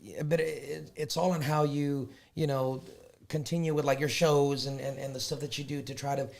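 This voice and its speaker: Man, middle-aged, average pitch, easygoing, rambling, lots of restarts. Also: level tone, normal speed, vocal fry. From San Antonio, Texas. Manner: easygoing, rambling, with many restarts and you knows. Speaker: musician guest.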